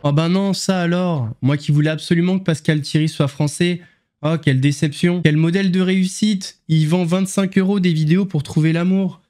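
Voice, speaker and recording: A man's voice: on the high side, steady tone, moderate pace, dark tone. A man hosting an online commentary show, mocking his subjects with ironic asides. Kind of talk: sarcastic news commentary.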